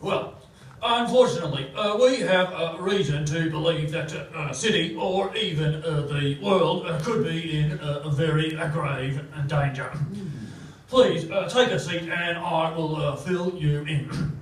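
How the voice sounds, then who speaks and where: An actor playing a flustered police chief who stammers and hesitates, in a staged comedy radio play. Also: male voice, fairly high pitch, natural voice. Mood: flustered, formal. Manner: stammering, halting, full of uhs. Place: theatre stage, picked up from afar. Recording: echoey theatre, distant microphone.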